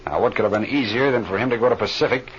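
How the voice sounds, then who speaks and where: A man's voice: fairly low pitch, racing, natural voice. A man playing a seasoned, plain-spoken flight leader in an old radio drama, on a muffled, hissy recording. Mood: troubled, serious. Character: seasoned male flight leader, steady, folksy mentor.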